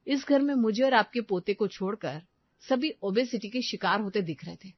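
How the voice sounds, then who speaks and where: A woman plays a young Indian housewife in a cartoon story, exasperated with her family and quick to scold. She fusses over what everyone eats. The sound is very dry and a little lo-fi. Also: medium pitch, no room sound, close to an everyday voice. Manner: scolding, fussy.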